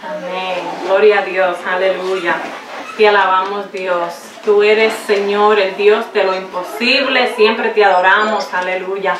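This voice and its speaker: Woman preacher in her forties, slow and halting, caught by a far camera in a roomy space. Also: medium pitch, fairly even intonation, background noise. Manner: halting, searches for words, slips into English. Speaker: pastor's wife preaching. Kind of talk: Good Friday sermon. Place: small home room set up as a chapel, indoors. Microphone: far camera, no visible mic. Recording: echoey room from a far camera.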